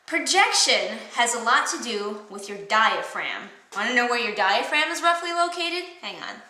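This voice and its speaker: A young woman with a nasal Wisconsin accent teaching acting, enunciating clearly and animatedly, recorded on a camera mic in an echoey room. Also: on the high side, expressive, normal speed, bright, forceful tone. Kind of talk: enthusiastic how-to vlog. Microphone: camera mic across the room. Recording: roomy echo from far camera.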